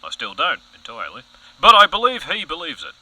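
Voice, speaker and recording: A man acts out a theatrical TV news anchor in an audio satire. His voice is sharp and forceful and swoops widely in pitch. He is gullible, insinuating and deeply earnest in his suspicion. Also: wildly swooping pitch, brisk, exaggerated voice, low-quality recording. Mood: conspiratorial. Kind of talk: satirical broadcast news audio comedy acting.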